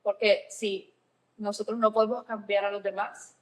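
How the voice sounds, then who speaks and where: Nica woman therapist on a podcast, medium pitch voice, explaining stuff in a chatty but semi professional way. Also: little pitch movement, normal speed, low-quality recording. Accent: Nicaraguan Spanish. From Nicaragua.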